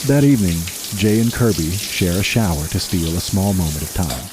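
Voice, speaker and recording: A man narrating a post-apocalyptic radio drama in a measured, grave, scripted delivery. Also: deep voice, lively intonation, background noise. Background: music.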